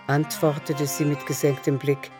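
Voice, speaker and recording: A woman's voice: sits low, little pitch movement, moderate pace. A woman reading scripted literary narration for a radio drama. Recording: music behind.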